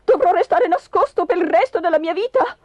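Grumpy storybook husband, acted by a woman, irritable, blustering, plaintive, lively swings in pitch, dull recording. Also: measured pace, slightly rough, no room sound, exaggerated voice. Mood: wailing, indignant. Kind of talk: theatrical storybook character voice.

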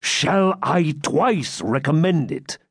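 A man voicing a cruel, sarcastic baron who speaks sternly and slowly. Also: fairly high pitch, lively intonation, fairly bright tone, husky, no echo, studio quality, voice pushed up, heavily acted. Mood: menacing. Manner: stern, slow, menacing.

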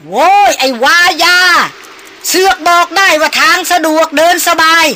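หญิงเล่นเป็น ตาเฒ่าพรานขี้โวยวาย. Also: female voice, very high voice, unhurried, slight background noise, voice pushed up, full character voice. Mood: angry shouting. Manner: shouting, cursing. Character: foul-mouthed old male hunter, bellowing in anger.

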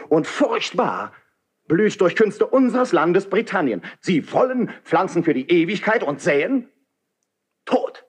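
Young nobleman, husky, wide-ranging intonation, idealistic, fervent, proud, rhetorical verse declamation, 1970s radio play. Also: a little high, full character voice. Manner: impassioned, rhetorical stage declamation.

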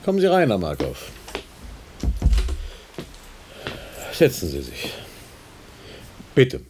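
A man's voice: on the low side, huge pitch swings, a little slow, darkish tone, faint music behind. A man playing an evasive, condescending senior research doctor in a radio play, with a hesitant, officious manner. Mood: placating. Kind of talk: German radio play acting.

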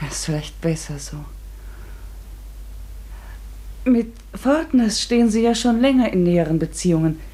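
Actress as curious ambitious woman, husky breathy voice, earnest n a bit hesitant, radio play storytelling. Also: fairly low pitch, dark tone, lightly acted. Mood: curious, polite. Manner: earnest, reflective, politely hesitant. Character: eager, curious, ambitious woman narrating her strange discovery. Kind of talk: literary radio play narration and dialogue.

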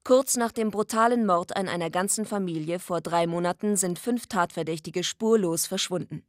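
A woman's voice: fairly low pitch, normal speed, no echo, studio quality, close to an everyday voice. An actress playing a driven, outspoken woman investigative reporter in a radio drama. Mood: crisp, reporting.

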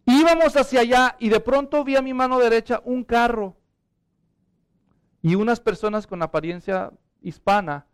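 High, resonant Mexican preacher. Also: male voice, moderate pace, clean tone. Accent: Mexican Spanish. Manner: impassioned, drops to hushed prayer then rises to urgent appeals. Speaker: pastor. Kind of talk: sermon.

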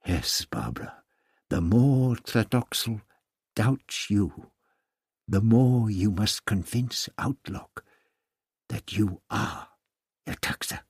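A man's voice: lowish voice, expressive, slow pace, creaky, long pauses, husky, dry recording, clean studio sound, exaggerated voice. A man voicing an irascible, authoritative older doctor in an audiobook story, with emphatic, old-fashioned phrasing. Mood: urging, persuasive. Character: irascible, authoritative older male doctor, gruff but kindly.

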